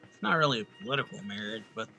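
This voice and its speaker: A man's voice: on the low side, quick pace, creaky, sharp tone, soft background music, rough recording. A man giving casual, laid-back commentary as he plays a story game, musing aloud on it. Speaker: game streamer reacting to story.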